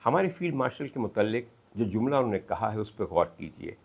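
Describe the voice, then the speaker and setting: A man with a Pakistani accent delivering political commentary as an emphatic, oratorical monologue, with dramatic pauses and piled-up lists. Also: lowish voice, sing-song, gravelly, husky. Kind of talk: rhetorical monologue of political analysis.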